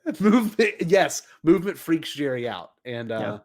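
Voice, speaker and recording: A man co-hosting a podcast in casual banter, talking in an animated, jokey and enthusiastic way. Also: a little high, brisk. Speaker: podcast co-host.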